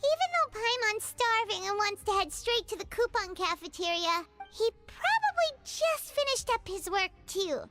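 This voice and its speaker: Super high squeaky tiny game fairy, whiny n chirpy, swoopy delivery, music behind. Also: female voice, sing-song, normal speed, hardly any pauses. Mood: exhausted, whining. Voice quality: squeaky, childlike. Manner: refers to self in third person, dramatic. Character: tiny childlike fairy companion, chirpy, whiny, third-person talker. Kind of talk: animated video game voice acting.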